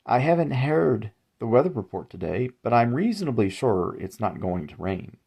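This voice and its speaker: A man's voice: medium pitch, very animated, normal speed, vocal fry, darkish tone, husky, heavily acted. A man voicing a weary, frustrated suburban housewife who talks in a chatty, exasperated way. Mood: friendly.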